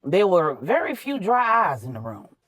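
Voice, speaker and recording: A woman narrating fiction in an expressive, emphatic storytelling style with conversational, colloquial phrasing. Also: fairly low pitch, moderate pace, no room sound.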